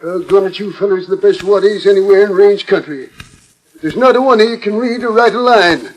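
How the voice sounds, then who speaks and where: An actor plays an older, plain-spoken rancher in a vintage radio Western. His voice is high for a man, folksy and earnest, with an American Western accent. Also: fairly quick, natural voice, rough recording. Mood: frank, concerned. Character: aging, worried, plain-spoken male ranch owner. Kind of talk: 1940s radio Western acting.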